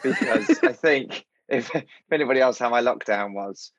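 A man's voice: mid-range voice, moderate pace, a bit bright, no room sound. British male comedian, dry and self-deprecating. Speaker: stand-up comedian guest. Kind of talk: casual chat interview.

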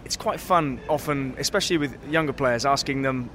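A man's voice: medium pitch, fairly quick, a bit bright, slight background noise. A man interviewing a young tennis player outdoors, asking friendly, conversational questions with small restarts.

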